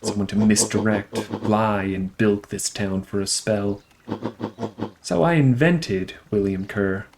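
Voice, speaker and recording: A man's voice: on the low side, slow pace, background noise. A man voicing a pompous, grandiose old villain from a video game, gloating theatrically. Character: gloating old male villain, grandiose small-town tyrant. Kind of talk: video game character voice acting.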